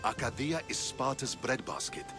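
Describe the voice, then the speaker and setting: An actor playing a level-headed, principled Spartan military man in a video game, measured and reasoning. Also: mid-range voice, animated, natural voice. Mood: grave, reasoning. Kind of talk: video game dramatic acting. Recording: music under the dialogue.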